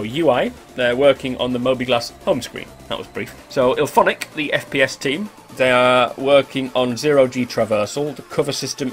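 Stuffy-nosed host with a cold, chatty rambling roundup, mid-pitched voice. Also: male voice. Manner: chatty, rambling with fillers and restarts. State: congested with a cold. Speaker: gaming news host. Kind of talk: casual news roundup voice-over.

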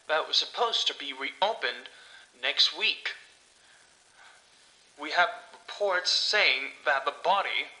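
A man playing a solemn news anchor in a horror audio drama, formal and measured. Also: on the high side, sharp tone, heavily acted, low-quality recording. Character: solemn male news anchor reporting a brutal murder.

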